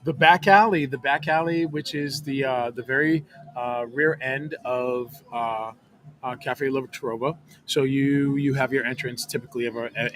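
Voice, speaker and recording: Measured man telling stories outdoors. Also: mid-range voice, noisy background. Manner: warm storytelling, measured, many fillers. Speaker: guest, hospitality specialist. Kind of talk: casual chat. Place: outdoor back alley behind a restaurant bar at night.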